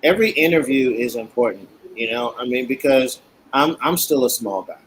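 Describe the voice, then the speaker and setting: A man's voice: mid-range voice, animated, normal speed, a little hiss or hum, poor audio. A man from Queens, a guest talking in an earnest, plain-spoken way with many fillers. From Queens, New York. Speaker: guest, cigar maker. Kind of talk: interview chat. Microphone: video call.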